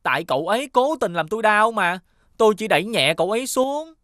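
A woman voicing a naive, bullied young boy with an earnest, plaintive manner in an animated fairy tale. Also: medium pitch, fast talker, no room sound, studio quality. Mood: protesting.

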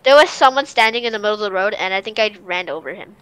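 Excitable teen boy, quick and chattery. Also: high-pitched, clean tone, low-quality recording. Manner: excitable, repeats himself. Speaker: player. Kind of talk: casual gaming chat.

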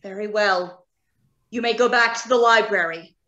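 A woman playing an earnest, uneasy doctor of robot science in a radio drama, deliberate and emphatic. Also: medium pitch, bright, forceful tone, lo-fi sound, lightly acted. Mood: commanding. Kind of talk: old-time radio drama acting. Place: home, remote video call.

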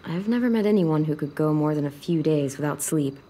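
A woman playing a weary, caring teenage girl survivor in a video game drama, speaking gently and softly with concern. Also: sits low, expressive, brisk. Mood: gentle concern. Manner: gentle, soft-spoken, concerned.

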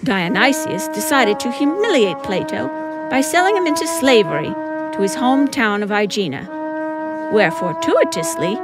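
A woman's voice: medium pitch, very animated, normal speed, rough recording. A woman reading scripted comic narration with theatrical, wry emphasis. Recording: music behind the voice.

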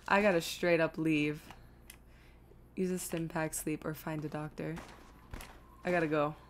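A woman's voice: fairly low pitch, a little hiss or hum. A woman streaming a video game with casual commentary, thinking aloud in a self-deprecating, exasperated way.

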